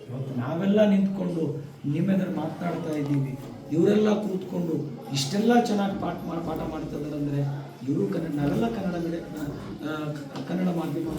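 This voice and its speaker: A man with a North Karnataka Kannada accent gives an emphatic motivational speech to students in short, deliberate phrases. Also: fairly high pitch, a crowd in the background. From Karnataka, India. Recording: echoey hall, distant microphone, audience noise.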